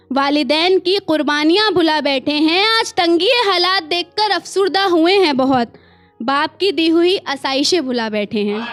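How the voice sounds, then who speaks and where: An Indian woman performs spoken-word poetry in a distinctly high voice. Her delivery is expressive and deliberate, leaning on refrains and repeated lines for emphasis, with conversational asides to listeners. Soft music sits behind her. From small town in India. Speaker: poet performing her own work.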